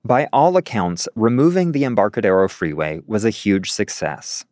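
A man's voice: fairly low pitch, big pitch swings, moderate pace, darkish tone, soft background music. A male reporter narrating a scripted radio feature, partly recorded outdoors in a city park.

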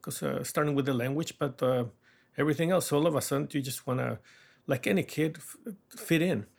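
A middle-aged man from Mexico with a Mexican accent, an artist talking thoughtfully in a documentary interview, pausing often with fillers and soft laughs. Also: fairly high pitch, vocal fry.

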